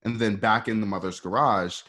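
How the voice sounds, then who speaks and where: A man's voice: lowish voice, fairly even intonation, moderate pace, bright, forceful tone. A man narrating scene directions for an amateur script read, informal and conversational. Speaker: narrator reading stage directions.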